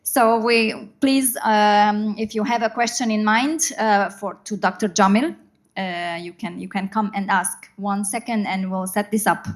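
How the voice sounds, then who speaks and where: A woman's voice: mid-range voice, a little slow. A woman event host, a native Hungarian speaker, giving spontaneous instructions to an audience with some hesitancy.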